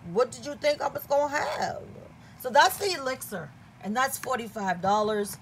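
A woman chatting casually and excitedly as she unboxes products at a table indoors. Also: high voice, normal speed, slight background noise. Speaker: product reviewer.

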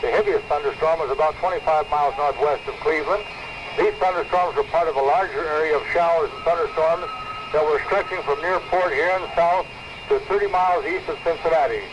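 A man's voice: a little high, a little fast. A man reading a scripted weather summary as a radio announcer, formal and matter-of-fact. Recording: muffled, noisy 1970s weather radio recording.

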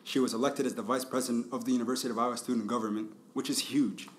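A man's voice: medium pitch, fairly even intonation, very fast. A man hosting a podcast interview, upbeat and friendly, laughing often as he introduces his guest. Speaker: podcast host.

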